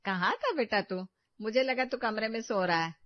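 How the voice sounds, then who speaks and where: A woman's voice: a little high, moderate pace, voice pushed up, lightly acted. A woman doing a fussing, doting mother. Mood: chiding. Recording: dull lo-fi dry recording.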